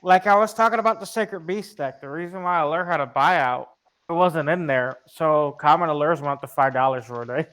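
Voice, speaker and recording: A man's voice: a little high, a little fast, fairly bright tone. A man chatting casually about card games, blunt and sweary, often repeating himself. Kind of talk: casual card game chat. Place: online group voice call.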